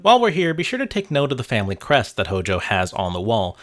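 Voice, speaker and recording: A man's voice: mid-range voice, huge pitch swings, fast, fairly bright tone. A man narrating a scripted video essay in a wry, conversational way with casual asides, sometimes casually sweary. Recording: background music under narration. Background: music.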